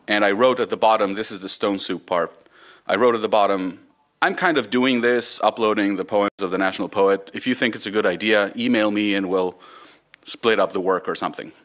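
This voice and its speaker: A man's voice: fairly low pitch, fairly bright tone. A man in his thirties from Israel, a Hebrew speaker, gives an informal, wry conference talk with some storytelling. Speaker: conference speaker, volunteer digital librarian. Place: conference room, speaking from a lectern.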